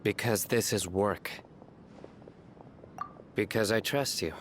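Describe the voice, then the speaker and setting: An actor playing a stern, principled young general in a video game, speaking in a grave, measured, authoritative way. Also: male voice, fairly low pitch, fairly bright tone, slight background noise. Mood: calm. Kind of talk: video game character acting.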